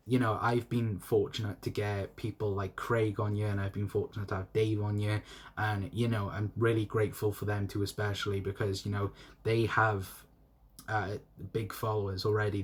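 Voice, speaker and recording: Young South Welsh man with a breathy, slightly low voice who rambles and repeats himself while hosting a solo fan stream. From South Wales. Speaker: fan channel host. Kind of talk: solo livestream, reading chat comments.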